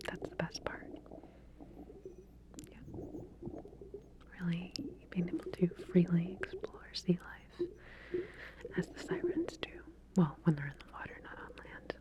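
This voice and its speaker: Woman, whispered voice, breathy, lowish, gentle professional manner, ASMR medical roleplay, calm and reassuring. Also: measured pace, pauses often. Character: calm, attentive female scientist running a medical experiment.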